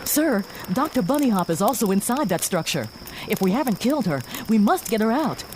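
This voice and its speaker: Woman as an expressive, dutiful rabbit lieutenant. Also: mid-range voice, a little fast, slight background noise, natural voice, poor audio. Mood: formal. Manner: crisp military formality, insistent. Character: dutiful, urgent female rabbit starship lieutenant. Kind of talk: science-fiction audio drama acting.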